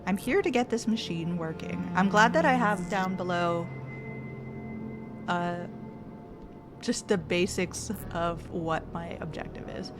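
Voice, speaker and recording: A woman's voice: lowish voice, takes it slowly, long pauses. A woman streaming a game, chatty and playful, often talking to herself. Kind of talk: playing a game live. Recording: game music loud under voice.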